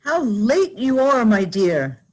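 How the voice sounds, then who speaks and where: A woman's voice: on the high side, big pitch swings, takes it slowly, a little mellow, exaggerated voice, rough recording. A woman playing a fond, fretful middle-aged mother in a radio play. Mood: fond, motherly.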